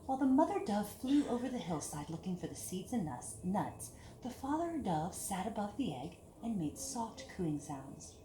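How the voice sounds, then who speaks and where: A woman's voice: mid-range voice, sing-song, normal speed, warm tone. Echoey woman reading a children's story. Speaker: storyteller reading a picture book aloud to children. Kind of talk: expressive children's story read-aloud. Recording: echoey room with clear background hiss.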